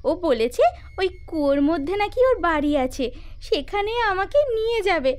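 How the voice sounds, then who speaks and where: Very high-pitched little girl. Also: lively intonation, normal speed. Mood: entranced, eager. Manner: childlike, pleading. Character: innocent little girl, dreamy and stubborn. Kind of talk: Bengali animated ghost story voice acting.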